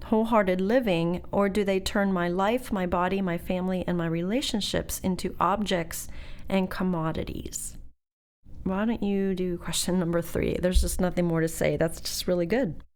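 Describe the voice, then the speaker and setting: A woman's voice: lowish voice, steady tone, normal speed, darkish tone. A woman in her fifties hosting a podcast, chatting casually and confessionally, laughing often. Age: 50s. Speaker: podcast host. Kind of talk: casual chat.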